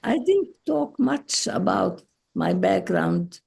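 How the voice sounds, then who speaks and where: A woman in her eighties with a Palestinian Arabic accent, slowly telling her life story as a guest on a video call. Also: medium pitch, very animated, creaky, darkish tone, slightly rough. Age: 80s. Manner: slow, halting, with frequent pauses and restarts. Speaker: guest speaker. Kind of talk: relaxed personal storytelling.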